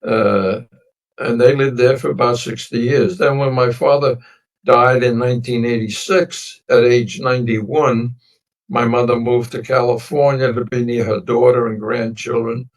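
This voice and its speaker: A man in his nineties whose first language was a Sicilian dialect, slowly recounting family history as an interview guest in a meandering, reflective way. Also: mid-range voice, fairly even intonation. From United States. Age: 90s. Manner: meandering, reflective storytelling with many pauses. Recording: voice distant from the mic.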